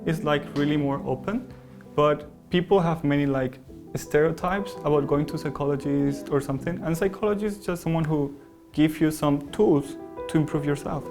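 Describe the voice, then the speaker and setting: A man's voice: fairly high pitch, moderate pace, over music. A man from Spain, a panel guest, talking openly and reflectively about his own experience. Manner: open, reflective. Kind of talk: candid personal discussion.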